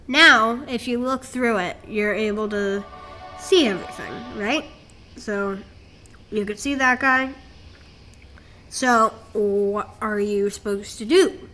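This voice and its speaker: A woman's voice: fairly high pitch, bright, forceful tone, slight background noise. A woman giving a casual game walkthrough, talking the viewer through steps in a rambling, self-correcting way. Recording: game music playing behind the voice.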